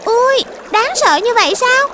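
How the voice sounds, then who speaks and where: Squeaky, curious little cartoon girl. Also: lively intonation, a little slow, noisy background. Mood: amazed. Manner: wide-eyed, exclaiming, childlike questions. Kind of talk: children's cartoon dubbing.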